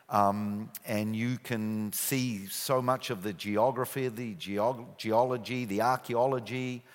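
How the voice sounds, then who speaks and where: New Zealand man speaking in a church. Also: medium pitch. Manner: jokey, relaxed storytelling with frequent fillers. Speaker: guest speaker. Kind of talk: church talk. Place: church hall. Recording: reverberant church hall.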